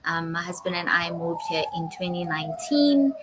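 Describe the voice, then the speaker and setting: A woman from Zimbabwe hosting an online interview over a video call, friendly with frequent ums and quick yeses. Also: on the low side, lively intonation, background noise. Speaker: host and interviewer.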